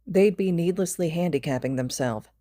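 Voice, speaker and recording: A woman's voice: lowish voice, normal speed, mellow, dry recording, studio quality. A woman reading fan fiction aloud as a scripted story narration. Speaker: podfic reader.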